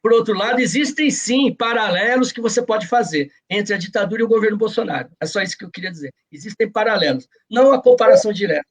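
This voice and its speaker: A man's voice: high-pitched, expressive, fast, few pauses. A man with a Brazilian Portuguese accent, speaking earnestly and explaining his views in a reflective interview. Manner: earnest, explanatory, often seeking agreement with short tags. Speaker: interview guest, journalist and author.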